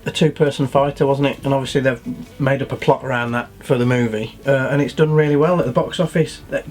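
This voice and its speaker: A man's voice: mid-range voice, steady tone, fairly quick, darkish tone, soft background music. A British man co-hosting a casual film discussion, speaking bluntly about his opinions. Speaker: podcast co-host.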